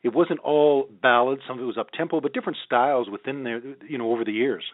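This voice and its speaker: A man's voice: medium pitch, fast, no room sound. A man interviewing a guest in a conversational, encouraging way. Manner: affirming, encouraging. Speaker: radio interviewer. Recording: narrowband phone-like radio sound.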